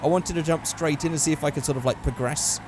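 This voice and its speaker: A man with a hoarse, worn-out voice giving casual commentary over a driving game, getting excitable at close calls. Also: a little high, fast, noisy background. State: hoarse, losing his voice. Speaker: gaming channel host.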